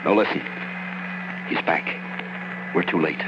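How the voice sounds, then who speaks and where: An actor playing a grave, thoughtful, scientifically minded doctor in an old-time radio horror drama. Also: male voice, low voice, measured pace. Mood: awed dread. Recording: muffled, hissy old radio recording.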